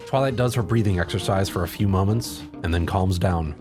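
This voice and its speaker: A woman's voice: extremely low pitch, brisk. A woman reading a scripted second-person story as its narrator. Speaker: fan fiction narrator. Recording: faint music behind the voice.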